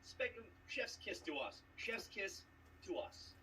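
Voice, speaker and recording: A man's voice: high voice, big pitch swings, slow pace, sharp tone. A man trash-talking on a video call, boastful and mocking, with emphatic delivery. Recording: distant mic with clear background noise.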